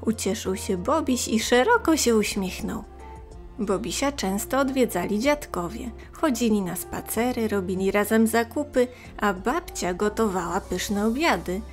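A woman narrating a scripted story for young children in a gentle, expressive, clearly phrased way. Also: mid-range voice, measured pace, faint music behind.

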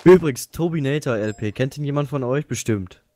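A man's voice: medium pitch, a little fast, dark tone, a little hiss or hum. A man chatting casually in a group call while gaming, giving his opinions in an earnest way. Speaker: co-player.

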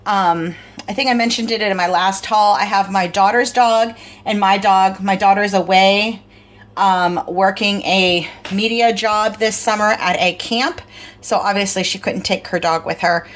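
A woman's voice: medium pitch, fairly even intonation, unhurried. Tired, rambling woman vlogger. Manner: rambling, filler-heavy, apologetic. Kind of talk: casual grocery haul chat.

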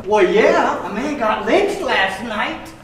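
A woman's voice: fairly high pitch, animated, slow pace. A woman playing a doubting, jumpy disciple in an amateur radio play. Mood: sardonic. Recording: distant, very echoey room, faint noise.

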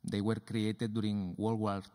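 Brief: man in his thirties lecturing deliberately from a stage into a stand mic, roomy hall reverb. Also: on the low side, level tone, darkish tone. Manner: deliberate, repetitive phrasing. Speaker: lecturer and performer. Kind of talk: lecture performance. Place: dark theatre stage, seated at a table.

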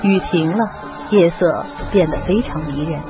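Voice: medium pitch, moderate pace, phone-quality sound. A narrator reading scripted storytelling for a radio drama. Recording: band-limited audio with music.

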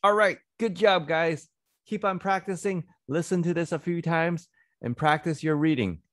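A man in his thirties giving a read-aloud English phonics lesson for children, deliberate and repetitive, close to a desk microphone in a small room. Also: fairly high pitch, a bit bright, no room sound. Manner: deliberate, repeats words and sentences. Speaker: English reading teacher for children. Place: small indoor home studio. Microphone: close desk microphone on boom arm.

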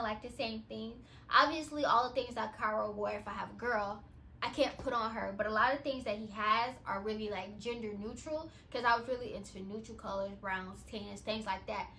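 A woman's voice: a little high, bright, forceful tone, slight background noise. A woman in her twenties vlogging casually in a nursery at home, chatting to the camera while she sorts clothes and packs boxes. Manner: chatty, talks while packing and folding. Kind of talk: casual vlog chat to camera. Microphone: camera set on the floor.

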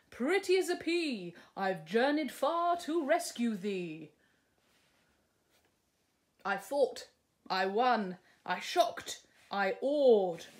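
A woman's voice: high-pitched, voice pushed up, full character voice. A woman voicing a boastful, bossy young prince from a storybook, grandiose and condescending. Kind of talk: storybook character reading.

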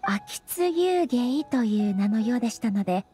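A woman voicing a dignified, gentle female ruler in a Japanese anime game, speaking politely and formally with measured composure. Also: a little high, lively intonation, faint music behind, lightly acted. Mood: serene, nostalgic.

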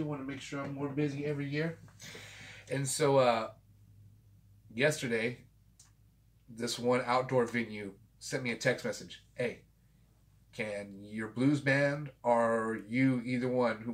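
Brief: fortyish man, casual tipsy rambler, mid-pitched everyday voice, small home room on a webcam. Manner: loose and chatty, swears freely, tipsy. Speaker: vinyl collector channel host. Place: small home room with framed pictures and a guitar.

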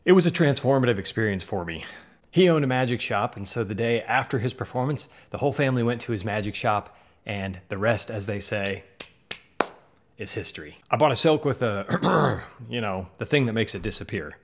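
A man's voice: fairly low pitch, expressive, brisk. A man in his thirties with a Midwestern accent, from the American South, talking earnestly to camera as host of a magic channel in a small home studio. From Southern United States. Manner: earnest, conversational, gestures with hands. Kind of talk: casual talk to camera. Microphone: studio mic on boom in front.

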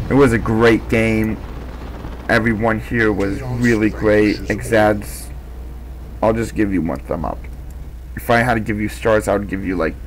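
A man's voice: lowish voice, noisy background. A young man narrating a replay of his own strategy game match in a casual, rambling way, with many fillers and restarts.

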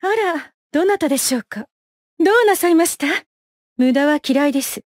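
A woman's voice: sits high, lively intonation, moderate pace, dry recording, clean studio sound. An actress playing a poised, haughty young female knight in a fighting game, speaking with formal, imperious politeness and clipped battle cries. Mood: coolly disdainful.

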